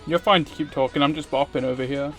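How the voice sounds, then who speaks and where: A man's voice: fairly high pitch, steady tone, quick pace, fairly bright tone, faint music behind. A man streaming a game, reading a visual novel aloud.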